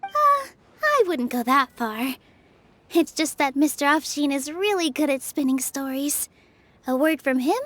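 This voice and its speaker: A woman voicing a kind, gentle young dancer who speaks politely and reassuringly, in video game dialogue. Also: high voice, no echo. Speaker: theater dancer. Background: game music.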